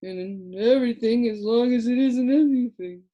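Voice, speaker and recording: A woman's voice: fairly high pitch, muffled recording, lightly acted. A woman voicing a crowd of tiny, drowsy creatures who drag out every word as if half asleep, in an audiobook. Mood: drowsy droning. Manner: slow, drawn-out, yawning delivery. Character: drowsy tiny color-changing creatures, droning and sleepy. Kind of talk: audiobook character voice.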